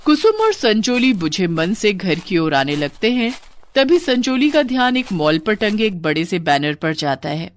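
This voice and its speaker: A woman's voice: medium pitch, big pitch swings, measured pace, slight background noise. A woman reading a scripted moral story as the narrator.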